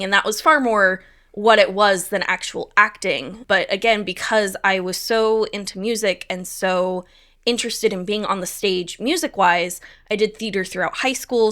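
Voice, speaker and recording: A woman's voice: medium pitch, bright, forceful tone. A young adult woman, a stage manager, chatting casually and upbeat in an interview, with many fillers.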